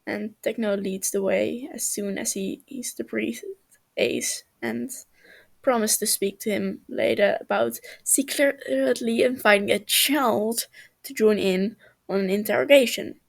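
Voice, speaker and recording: A woman reading a story aloud in a slightly halting way, as an amateur narrator. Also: on the high side, creaky. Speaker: amateur fan fiction reader.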